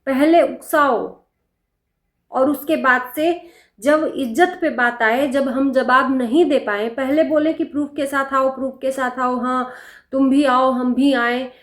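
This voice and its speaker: A woman with a fairly high voice delivers casual reaction commentary. She is opinionated and pointed, leaning on rhetorical questions and repeating her phrases. The recording has clear room echo around her voice. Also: fairly even intonation, unhurried. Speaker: reaction video host. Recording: echoey, reverberant room.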